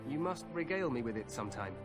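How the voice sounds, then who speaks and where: A man's voice: medium pitch, lively intonation, fast talker, natural voice. An actor playing a cheerfully overconfident king eager for glory in a fantasy game, with a hearty, regal yet informal manner. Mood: jovial. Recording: orchestral game music behind voice. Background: music.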